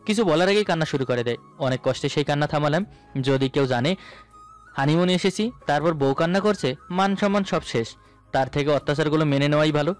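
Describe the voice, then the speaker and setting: Male narrator for romantic audio fiction, higher-pitched, first-person scripted read, music bed. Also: a little fast. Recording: voice over background music.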